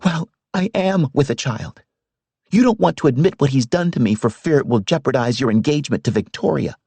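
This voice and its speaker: A man's voice: mid-range voice, animated, brisk, vocal fry, mellow, slightly rough, no room sound, pitched above the performer's own voice. A man reading aloud, voicing a distraught pregnant young woman who pleads heatedly with her family. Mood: heated, pleading.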